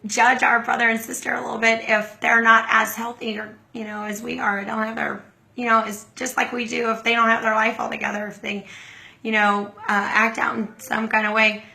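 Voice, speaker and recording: A hoarse middle-aged woman hosting a casual livestream, chatting and greeting viewers by name. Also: fairly high pitch, little pitch movement, moderate pace, sharp tone. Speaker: livestream host.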